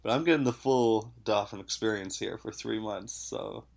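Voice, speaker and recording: A man's voice: on the low side, normal speed, creaky. A man from Canada talks in an easygoing podcast chat, rambling through fillers and laughing often. He has a head cold, and his voice sounds stuffed up and nasal. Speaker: podcast host.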